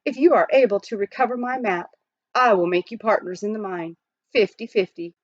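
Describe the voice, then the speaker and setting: A woman's voice: mid-range voice, fairly quick, no echo, muffled recording, natural voice. A woman voicing an ailing male doctor in a formal, earnest letter within a storybook. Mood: pleading.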